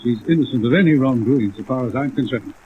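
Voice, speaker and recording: Man acting a courtly, menacing gentleman, thin radio sound. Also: medium pitch, phone-quality sound, close to an everyday voice. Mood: cold, controlled. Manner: formal, deliberate, polite menace. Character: courtly, menacing wealthy husband, coolly protective gentleman villain. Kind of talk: 1950s radio crime drama acting. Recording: band-limited old radio, rain noise.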